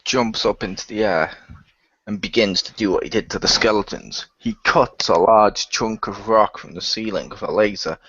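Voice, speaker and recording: A man's voice: fairly low pitch, moderate pace, fairly bright tone, husky, no room sound. A man playing in an improvised radio play, narrating his character's actions and lines. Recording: dull lo-fi voice recording.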